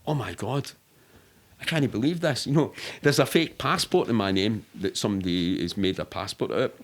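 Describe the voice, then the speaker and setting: Deep-voiced middle-aged Glaswegian man. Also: moderate pace. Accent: Glaswegian Scottish. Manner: candid, sweary, rambling. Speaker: podcast guest. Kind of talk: casual anecdotal storytelling.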